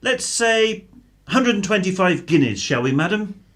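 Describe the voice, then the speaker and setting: A man's voice: sits high, very animated, measured pace, fairly bright tone, exaggerated voice. An actor playing a suspicious, sarcastic middle-aged British husband and father in a radio drama. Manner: sneering, mock-salesman sarcasm.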